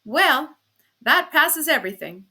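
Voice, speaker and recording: A woman's voice: mid-range voice, a little slow, sharp tone. A woman voicing a self-pitying, dissolute husband in an audiobook, theatrically aggrieved, sarcastic and languid. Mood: indignant.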